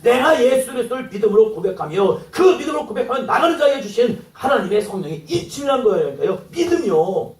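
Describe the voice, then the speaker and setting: A man preaching from the pulpit with a high, animated voice that swings widely as he acts out quoted lines. He sounds set back from the microphone, and his words ring through an echoey church hall. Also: fast talker, few pauses, distant mic. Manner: acts out quoted dialogue, rising to exclamations. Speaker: pastor. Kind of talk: sermon.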